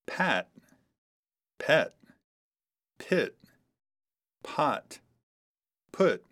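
A woman with an unusually deep voice reads short dialogues and vocabulary for learners. She speaks slowly, shaping each word carefully so it can be repeated. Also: very animated, fairly bright tone. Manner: slow, carefully articulated model speech. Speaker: language course speaker. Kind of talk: scripted model dialogues and drills.

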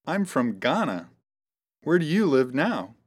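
A woman reading model dialogues and word drills for a language course, with careful, clear articulation. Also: low voice, very animated, a little slow.

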